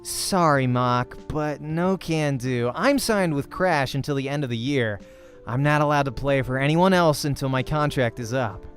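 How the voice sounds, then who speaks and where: A man voicing a cocky, jokey young male pro gamer in a visual novel, with a breezy, teasing, animated delivery. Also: medium pitch, moderate pace, faint music behind, natural voice.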